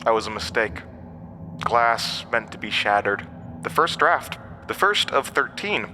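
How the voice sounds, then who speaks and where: A piercingly sharp, forceful voice rings through a long, cavernous reverb. It is an ancient inhuman outcast, bitter and manipulative, telling its tale with taunting theatrical emphasis, as in a horror audio drama. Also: male voice, medium pitch, very animated, measured pace, a little hiss or hum, heavily acted. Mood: bitter, wounded.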